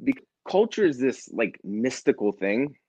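A man's voice: medium pitch, very animated, a little slow, dark tone, husky, no echo. A man speaking as an interview guest in a conversational, motivational style, emphatic and repeating phrases for effect. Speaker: interview guest, nonprofit founder and CEO. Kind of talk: animated conversational interview, motivational leadership talk. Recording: slightly dull, lo-fi audio.

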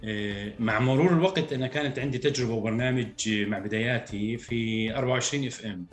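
A man in his thirties with a rural Palestinian accent, talking frankly as a podcast guest, reflective and quick to laugh. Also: mid-range voice. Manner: candid, reflective, laughs easily. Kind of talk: casual podcast conversation. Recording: echoey room with faint music.

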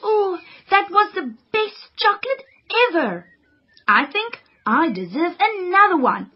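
A woman's voice: very high voice, big pitch swings, unhurried, dull audio, a little hiss or hum, full character voice. A woman voicing an earnest, studious young girl who loves homework and avoids exercise.

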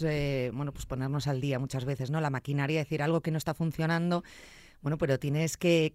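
A woman from Zamora, Spain, hosting a podcast interview in an expressive, exclamatory way with wide swings in pitch. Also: dry recording, studio quality. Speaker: podcast host. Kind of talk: casual interview.

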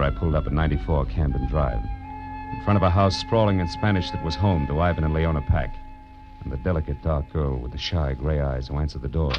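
A man playing a tough, wisecracking American private detective in 1940s radio drama style, with clipped, slangy delivery. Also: rumbling low. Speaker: lead actor and first-person narrator. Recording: dull vintage radio recording with music.

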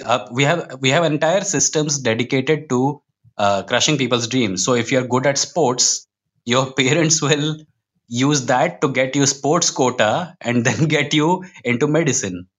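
A man's voice: mid-range voice, a little slow, a bit bright. Reflective Indian man in podcast conversation. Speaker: guest, comic book writer.

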